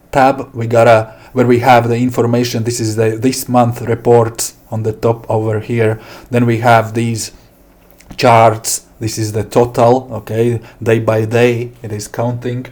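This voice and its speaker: A middle-aged man from Latvia with a Latvian accent and non-native English, giving an informal product walkthrough with deliberate, hesitant phrasing. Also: mid-range voice, flat delivery, darkish tone. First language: not English. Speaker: software developer presenting his product. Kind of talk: informal screen demo walkthrough.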